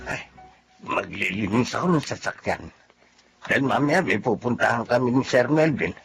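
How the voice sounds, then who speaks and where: A man playing a fussy, anxious household driver in a radio drama, gravelly and given to exclaiming. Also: medium pitch, expressive, normal speed, over music. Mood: muttering. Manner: fretful, exclaiming. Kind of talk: Tagalog radio drama acting.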